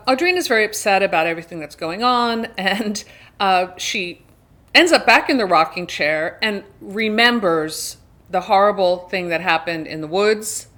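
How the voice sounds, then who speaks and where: A woman's voice: mid-range voice, fairly bright tone. A woman hosting a book video, talking casually and candidly, recounting a story with her own opinions mixed in. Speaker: book review video host. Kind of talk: casual chatty book discussion.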